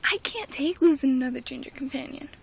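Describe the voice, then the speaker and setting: A woman's voice: high voice, lively intonation, fast talker, gravelly. A young woman ranting casually and dramatically as a fan, often dropping into a whisper. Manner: gushing, dramatic, slips into whispers.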